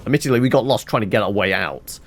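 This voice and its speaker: A man's voice: on the low side, fast talker, slight background noise. A man giving casual video game commentary, rambling and thinking aloud to himself. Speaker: gameplay streamer.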